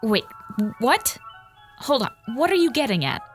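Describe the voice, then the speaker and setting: No-nonsense, animated space commander. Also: female voice, high voice, a little slow, faint music behind. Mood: incredulous. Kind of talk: audio drama acting.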